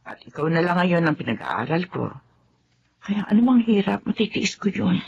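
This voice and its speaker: Devoted widowed housemaid mother, middle-aged, earnest, lively intonation, dull radio-drama sound. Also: fairly low pitch, normal speed, gravelly, a little hiss or hum. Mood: concerned, earnest. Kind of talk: Filipino radio drama acting.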